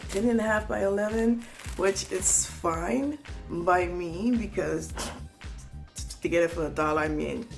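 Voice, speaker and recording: A woman with a raspy, hoarse voice from a cold, chatting casually to viewers in a home haul vlog, rambling with asides. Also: lowish voice. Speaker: home organizing vlogger.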